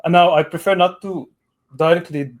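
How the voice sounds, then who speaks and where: A man of thirty-one from Lebanon, speaking conversationally as a guest in a debate call, often pausing mid-sentence. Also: high voice, steady tone. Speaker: guest caller, atheist debater.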